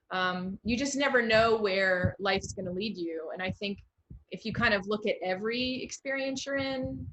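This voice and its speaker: A woman's voice: mid-range voice, a bit bright, dull audio. A woman with an American accent, hosting a casual interview over a video call in a chatty, encouraging way. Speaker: interview host, writer.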